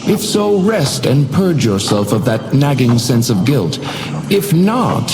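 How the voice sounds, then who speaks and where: A man's voice: medium pitch, very animated, takes it slowly. Suave, swooping, sinister hotel doorman. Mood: courteous, insinuating. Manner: theatrical, unctuously polite, insinuating. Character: uncanny supernatural hotel doorman, suavely menacing and courteous. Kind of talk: scripted video game character lines. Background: music.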